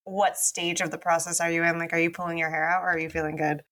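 Woman host with a bright voice, chatty and easily amused. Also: lowish voice, flat delivery, quick pace. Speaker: podcast host. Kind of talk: casual podcast interview.

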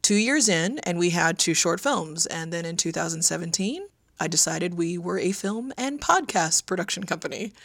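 A woman's voice: lowish voice, creaky, no echo, clean studio sound. A woman writer and producer giving a personal first-person account for a podcast.

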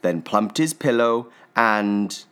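A man narrating a children's story expressively, with theatrical phrasing and deliberate pauses. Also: on the low side, animated, background noise.

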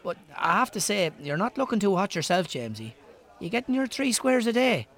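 A man's voice: high-pitched, very animated, brisk, a bit bright, heavily acted. An actor in a radio drama playing a joking, bantering Irish barman in a pub. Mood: needling, friendly. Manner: bantering, joshing. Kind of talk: naturalistic radio drama acting.

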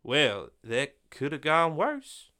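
A man voicing a calm, cool-headed male deputy in a Western story. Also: a little high, pitched above the performer's own voice, exaggerated voice. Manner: slow, drawling.

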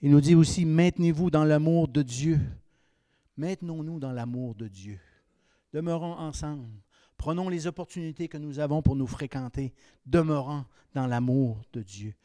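A man in his fifties with a Québécois French accent, preaching a sermon that swings between raised exhortation and quiet, confiding asides. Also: a little high, normal speed, no echo, studio quality. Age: 50s.

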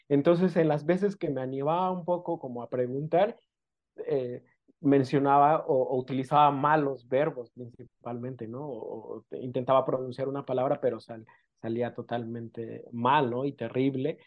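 Man, from Oaxaca, Amuzgo first language, halting interview guest, middle pitch, muffled lo-fi audio. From Mexico, Oaxaca coast. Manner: halting, many fillers and tag questions. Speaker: guest, documentary filmmaker. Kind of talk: casual interview, personal storytelling. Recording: dull lo-fi sound.

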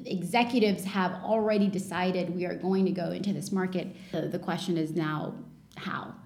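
A woman in her thirties who grew up in Lebanon and Canada, a startup founder speaking in a reflective, measured way during an interview. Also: lowish voice, steady tone, vocal fry, darkish tone. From Lebanon, raised in Canada. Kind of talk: interview conversation. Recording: echoey room.

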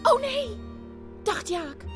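A woman's voice: extremely high pitch, big pitch swings, music in the background. A woman narrating a family radio play as a theatrical storyteller, dramatically and phrase by phrase. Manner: dramatic, deliberate phrase-by-phrase delivery.

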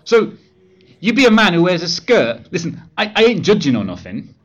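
A woman's voice: fairly low pitch, very animated, normal speed. A woman playing a cocky young male Galileo as a brash lad with London street slang, in a comedy sketch. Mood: cocky, indignant. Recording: dull, lo-fi audio.

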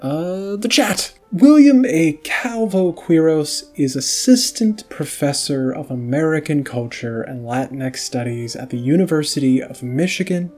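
A man's voice: on the high side, lively intonation, unhurried, hardly any pauses, a little mellow, faint music behind. A young adult man hosting a podcast, chatting casually with many fillers. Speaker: podcast host.

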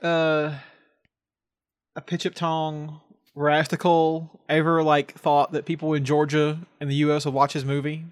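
A man's voice: fairly high pitch, completely flat delivery, measured pace, clean tone. A man chatting casually with a joking, teasing manner. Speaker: podcast co-host. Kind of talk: casual chat.

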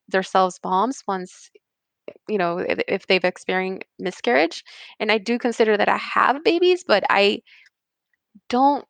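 A woman's voice: medium pitch, no echo. A woman in her thirties from Puerto Rico, a podcast guest talking candidly with frequent laughs and self-corrections. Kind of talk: conversational interview.

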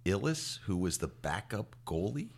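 A man's voice: deep voice, very animated, moderate pace, gravelly. A man hosting a conversational sports interview in a chatty, jocular manner.

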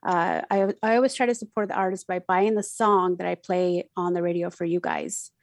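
A woman's voice: lowish voice, fairly even intonation, normal speed, dry recording, studio quality. A middle-aged woman from Mexico City, a native Spanish speaker with a Mexican Spanish accent, chatting casually as a radio DJ in an interview, with many fillers and restarts.

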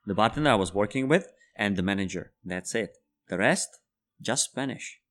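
A man in his thirties from the Czech Republic, a bartender guest in an interview, speaking English as a second language and telling animated stories with laughter. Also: fairly low pitch, moderate pace. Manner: animated storyteller, laughs while telling anecdotes. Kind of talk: casual interview storytelling.

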